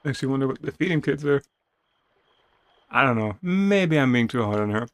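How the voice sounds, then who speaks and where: A man's voice: medium pitch, lively intonation, normal speed, clear, resonant tone, no echo. A man reading a video game's story aloud as a dramatic narration, with playful casual asides. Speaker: video game streamer reading game text aloud.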